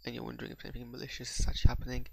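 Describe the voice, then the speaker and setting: Gravelly man with a Bradford-Pakistani accent. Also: mid-range voice, completely flat delivery, rapid-fire, fairly bright tone, dry recording. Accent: mixed Bradford and Pakistani. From England. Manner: hesitant, repeats words while gathering thoughts. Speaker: video reviewer. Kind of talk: unscripted reaction monologue.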